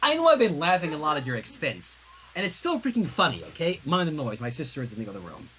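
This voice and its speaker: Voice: medium pitch, big pitch swings, quick pace, a bit bright, slight background noise. A reader voicing a brash, teasing but supportive teenage girl who talks casually and bluntly in a story reading. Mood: sympathetic.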